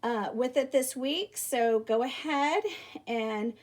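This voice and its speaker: A woman reading a children's picture book aloud like a teacher, animated, with theatrical emphasis. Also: sits high, takes it slowly. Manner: animated, theatrical emphasis with exclamations and rhetorical questions. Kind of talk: expressive children's story read-aloud.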